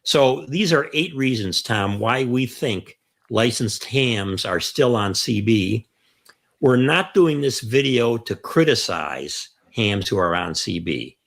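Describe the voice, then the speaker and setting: A man's voice: medium pitch, gravelly, a bit bright. A mature man co-hosting a radio hobby show, talking conversationally through a list of points and repeating key phrases for emphasis. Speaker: co-host of a ham radio show. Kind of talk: conversational explanatory talk, counting off points.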